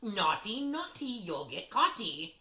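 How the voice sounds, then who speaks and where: A man voicing a mischievous male poltergeist who taunts in a shrill, cackling sing-song. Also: sing-song, takes it slowly, sharp tone, full character voice. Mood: gleeful taunting. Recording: roomy with faint hiss.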